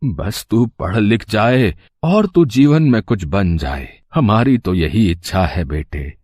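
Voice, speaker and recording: A man voicing a poor old cobbler grandfather, gentle, weary and affectionate, in a moral story. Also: on the low side, lively intonation, takes it slowly, dry recording. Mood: tender. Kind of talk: animated moral story dialogue. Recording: clear street and traffic noise behind.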